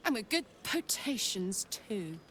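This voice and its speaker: Slow-spoken, hearty woman comrade. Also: medium pitch, sing-song, a bit bright, slight background noise. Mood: glad, sincere. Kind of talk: video game character acting.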